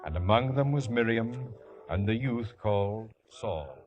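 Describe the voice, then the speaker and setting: An actor playing a grave scriptural storyteller, narrating a 1950s radio drama in solemn biblical cadence. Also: male voice, lowish voice, lively intonation, measured pace, dull audio. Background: music.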